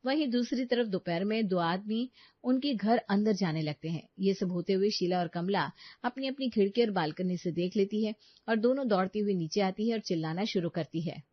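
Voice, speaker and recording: A woman's voice: mid-range voice, moderate pace, no echo. Woman narrating a story, slightly muffled. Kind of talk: scripted cartoon story narration.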